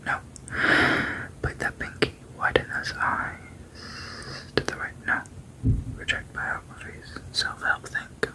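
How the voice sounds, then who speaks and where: A person reading fiction aloud entirely in a soft, unvoiced whisper, at a steady, low-key pace. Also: slow pace, long pauses, low-quality recording.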